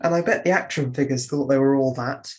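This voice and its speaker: Low, wistful puppet, played by a woman. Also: fairly quick, a little mellow. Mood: wistful, envious. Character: self-regarding, envious puppet from humble origins. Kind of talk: online puppet show acting.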